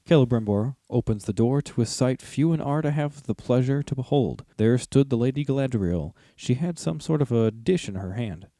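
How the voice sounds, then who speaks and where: A man's voice: mid-range voice, expressive, normal speed, few pauses, dark tone, dry recording, clean studio sound. A man with an American accent who hosts a podcast and narrates stories. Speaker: podcast host and drama narrator. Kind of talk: casual discussion and storytelling narration.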